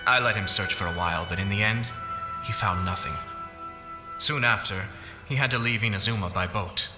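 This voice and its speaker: An actor playing a calm, calculating young noble clan lord in a video game, speaking in a measured, formal way. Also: male voice, fairly low pitch, close to an everyday voice. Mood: composed, secretive. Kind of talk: scripted video game dialogue. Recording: music playing behind the voice.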